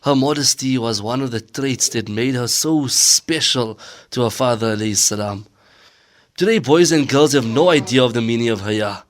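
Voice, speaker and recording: Man playing stern sheikh, middle pitch, rhetorical preaching style, religious audio drama. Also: moderate pace, natural voice. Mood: admonishing. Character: stern male Islamic teacher admonishing students on modesty. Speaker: religious teacher.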